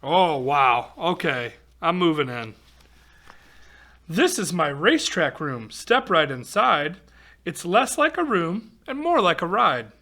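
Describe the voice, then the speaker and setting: A man's voice: fairly high pitch, sing-song, takes it slowly, bright, forceful tone. A man reading a rhyming picture book aloud to children, expressively, with exclamations and chatty asides. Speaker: volunteer storybook reader for children.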